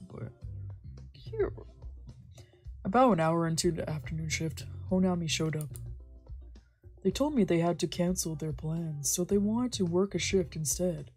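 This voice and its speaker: Female let's play streamer, low-set mellow voice, reads story text with casual playful asides. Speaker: streamer doing a visual novel let's play. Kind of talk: reading game text aloud with casual reactions.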